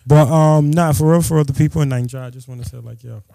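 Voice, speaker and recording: A man with a slightly high, gravelly voice, talking loose and slangy on a podcast. He jokes with his co-host, and the sound is dry and close to the mic. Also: fast, warm tone, dry recording. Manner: laid-back, slangy, joking. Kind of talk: casual chat.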